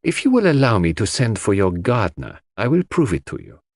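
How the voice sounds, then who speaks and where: A man plays a brisk, commanding detective in an audiobook mystery. His pitch is raised above a narrator's and swings widely as he questions precisely and formally, unshakeably sure of himself. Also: wildly swooping pitch, a little mellow, no echo, studio quality, exaggerated voice. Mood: assured.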